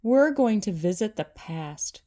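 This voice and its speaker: A woman voicing a small, brisk male spirit who gives bossy instructions in a storybook reading. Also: mid-range voice, animated, warm tone, natural voice.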